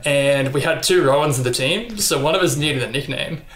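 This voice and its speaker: A young Australian man talking casually in an interview, relaxed and chatty with frequent ums and likes. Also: on the high side, moderate pace. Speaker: interview guest, athlete. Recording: echoey room.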